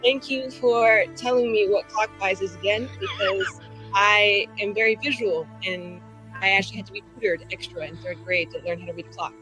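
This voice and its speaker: A woman player chats casually at a tabletop game with a sassy, slangy delivery. Her voice is bright and forward, with faint music underneath. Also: mid-range voice, poor audio. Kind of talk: casual character introduction.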